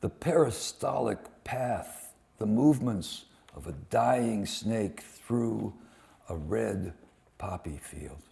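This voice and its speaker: A man giving a dramatic live poetry reading, delivering short phrases deliberately with weighted pauses. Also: on the low side, vocal fry, warm tone. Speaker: poet reading translated war poems at a poetry event.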